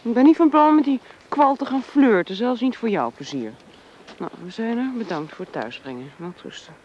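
A woman's voice: on the high side, animated, normal speed, a little mellow, a little hiss or hum, natural voice. An actress playing a proud, principled and prickly young woman in a radio drama, curt and indignant in manner. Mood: scornful. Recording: dull, band-limited radio drama sound.

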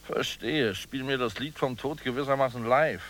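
An actor in a German radio play voicing a fussy, indignant dream disputant who declaims in clipped slogans. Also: male voice, mid-range voice, moderate pace, fairly bright tone, natural voice.

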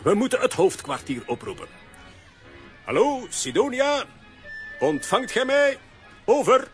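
An actor playing an eager, take-charge comic hero in a children's radio play. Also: male voice, very high voice, very animated, measured pace, a bit bright, faint music behind, exaggerated voice. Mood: urgent, decisive.

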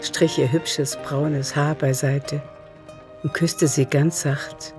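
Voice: medium pitch, flat delivery, slow pace. A narrator telling a literary story in a radio drama, in a composed manner. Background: music.

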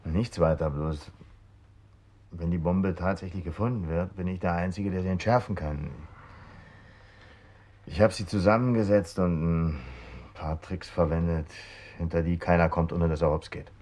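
A man's voice: deep voice, fairly even intonation, normal speed, a little mellow. An actor playing a cool, matter-of-fact male bomb-maker turned informant in a 1970s German radio crime drama.

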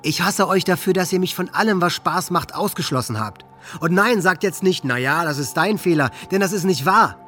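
A man's voice: high voice, animated, normal speed, sharp tone. Angry teen boy full of hate, cocky n swearing, radio play w music under it. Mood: wounded, accusing. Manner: bitter, boastful, profane. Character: hate-filled, resentful teenage boy plotting revenge. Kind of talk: German radio docudrama monologue.

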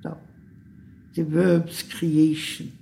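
A mid-pitched, dark voice recites poetry extremely slowly. Words come singly between long pauses, with plenty of creak. Faint ambient noise sits behind it. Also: level tone.

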